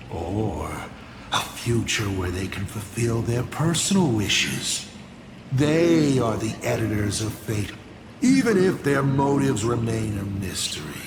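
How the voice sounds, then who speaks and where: A theatrical game villain, smug and scheming. He draws his words out slowly and swings widely in pitch as he teases. The voice has a roomy echo, with music playing underneath. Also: noisy background. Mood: cryptic, measured. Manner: theatrical, teasing, drawn-out delivery with big swoops. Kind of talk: video game voice acting.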